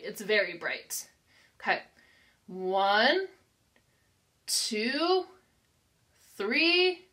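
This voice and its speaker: American lady teacher doing a read-aloud for little kids, really slow, very up and down pitch, encouraging. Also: bright, forceful tone. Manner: simple, encouraging, instructional, with deliberate enunciation. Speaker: preschool teacher. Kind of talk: read-aloud counting lesson for young children.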